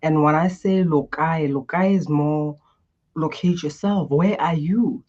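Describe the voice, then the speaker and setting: Expressive woman. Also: sits low, a little slow, muffled recording. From Botswana. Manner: expressive, guides listeners through breathing. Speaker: guest coach. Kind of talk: animated interview answers.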